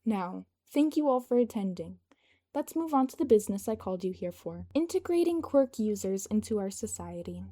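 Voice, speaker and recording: Confident, teasing young male leader for a story reading, played by a woman, mellow and wry. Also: medium pitch, animated, normal speed, natural voice. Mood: confident, friendly.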